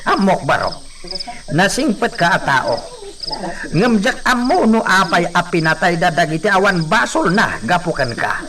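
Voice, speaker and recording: A man's voice: sits high, very animated, moderate pace, noisy background. A man playing an alarmed, cautioning older village man in a radio drama. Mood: pleading.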